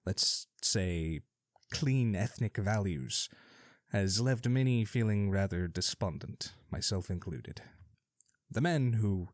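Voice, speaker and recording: A man's voice: on the low side, lively intonation, slow, dry recording, clean studio sound. Wry man hosting a fantasy radio show. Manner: wry, theatrical asides. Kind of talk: scripted fantasy radio monologue.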